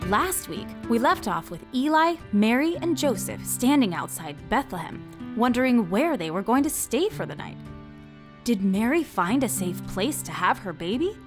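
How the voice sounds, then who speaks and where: A woman's voice: on the high side, sing-song, moderate pace. A woman announcer giving a scripted, dramatic introduction to a children's audio drama. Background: music.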